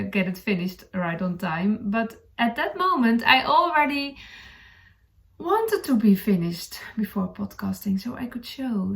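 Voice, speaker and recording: Creaky-voiced woman with a Dutch accent. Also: a little high. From Netherlands. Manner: hesitant, searching for words, chuckling now and then. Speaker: knitting podcast host. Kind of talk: casual chat to camera.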